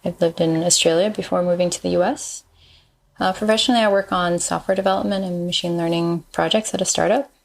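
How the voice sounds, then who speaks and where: A woman in her thirties from Malaysia speaks as a podcast guest, her voice sitting a little low with a gravelly, fry-tinged edge. She talks things through thoughtfully, pausing often to find her words. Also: steady tone. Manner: thoughtful, pauses with frequent ums. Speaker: guest, designer and engineer. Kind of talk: casual interview chat.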